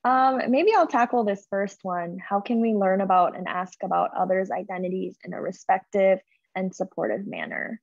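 A woman's voice: mid-range voice, normal speed, gravelly, dry recording. A young woman speaking as a webinar panelist, explaining things in an earnest, reflective manner. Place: indoor room, on a video call. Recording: dull video-call audio.

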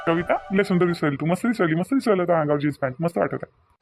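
A man's voice: fairly high pitch, lively intonation, very fast, soft background music. A man voicing a young newlywed husband in a cartoon, doting and a little impatient, coaxing insistently in a Varhadi Marathi accent from Vidarbha. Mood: reassuring. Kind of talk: cartoon dialogue acting in colloquial dialect.

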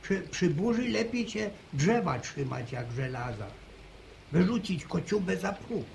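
A gravelly old village grandfather. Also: high-pitched, lively intonation, a little mellow, full character voice. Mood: stubborn. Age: older. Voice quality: gravelly, halting restarts. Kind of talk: 1950s radio drama acting. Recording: noisy old radio broadcast recording.

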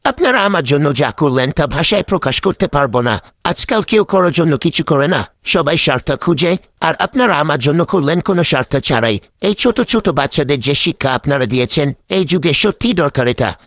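A man's voice: a little high, expressive, brisk, fairly bright tone, dry recording, clean studio sound. A man voicing a humble, weak, destitute man in a children's cartoon, speaking plaintively. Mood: humbly grateful. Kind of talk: children's moral cartoon dubbing.

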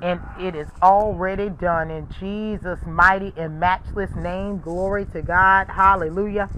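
A man leading fervent charismatic prayer as a preacher, forceful and repeating exclamations of praise. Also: high voice, fairly even intonation, measured pace, slight background noise, poor audio.

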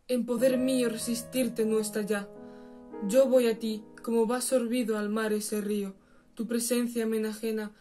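High, even-toned woman reciting verse over guitar. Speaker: poetry reciter. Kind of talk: theatrical recitation of romantic verse drama.

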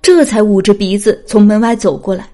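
A woman's voice: medium pitch, a little fast, mellow. A woman reading a dramatic scripted story as an audiobook narrator.

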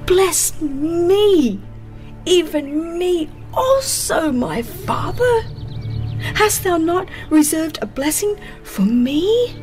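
A woman voicing an anguished grown son in a Bible story, pleading with his father in drawn-out, archaic words. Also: very high-pitched, very animated, darkish tone, faint music behind, voice pushed up, full character voice.

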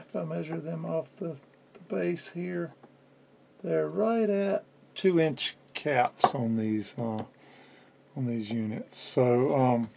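A man in his fifties giving a casual hands-on demonstration at a workbench indoors, explaining in a halting way as he thinks aloud. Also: fairly high pitch, creaky, a little mellow, slight background noise. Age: 50s. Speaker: electronics restorer and presenter.

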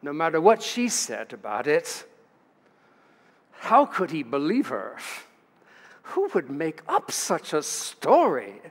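Older male pastor, higher-pitched voice, preaching from a lectern, church livestream with a long ringing reverb. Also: wildly swooping pitch, takes it slowly, long pauses. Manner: storytelling, reading scripture aloud with asides. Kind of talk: sermon. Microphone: lectern mic.

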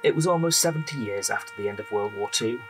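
A man's voice: fairly low pitch, animated, fast, faint music behind. A man giving scripted, documentary-like narration in an audio drama.

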